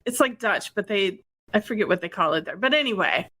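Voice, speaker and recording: A woman from Wisconsin co-hosting a podcast, chatting casually and telling stories in a quick, animated way with acted-out quotes. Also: medium pitch. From Wisconsin, USA. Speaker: podcast co-host.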